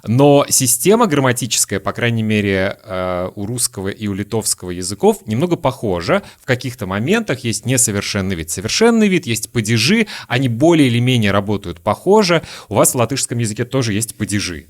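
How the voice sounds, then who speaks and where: A man from Lithuania, a native Lithuanian speaker, hosting a relaxed interview podcast and asking long, searching questions. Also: medium pitch, a little fast, hardly any pauses. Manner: asks long, searching questions and shares his own stories. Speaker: podcast host.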